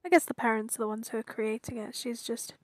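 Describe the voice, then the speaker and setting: A woman's voice: on the high side, fast, dry recording. A woman giving casual, musing commentary as she plays a game, feeling her way through her thoughts. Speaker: game streamer.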